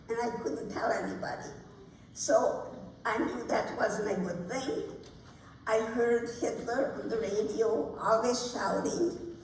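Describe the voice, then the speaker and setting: A woman in her nineties from Hungary, giving personal testimony to an audience in a plain-spoken, halting way. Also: fairly high pitch. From Budapest, Hungary. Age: 90s. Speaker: guest speaker, Holocaust survivor. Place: large hall at a ceremony. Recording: echoey hall, distant mic, faint noise.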